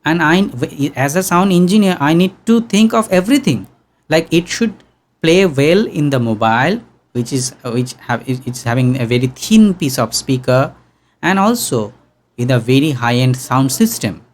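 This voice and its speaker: A young man teaching sound engineering in an online workshop, talking casually and humming low tones to demonstrate, close to a studio mic in a small room. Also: animated, moderate pace. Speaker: audio engineering instructor. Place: small room with green backdrop. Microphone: studio condenser mic on boom arm.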